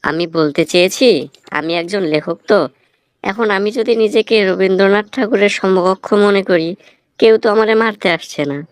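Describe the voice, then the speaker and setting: Guy doing bangladeshi cartoon writer dude, blunt n sardonic, lectures, really clean smooth voice, dry. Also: high voice, moderate pace, no echo, close to an everyday voice. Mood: blunt, mocking. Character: blunt, teasing male film writer giving advice. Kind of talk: comic cartoon dialogue.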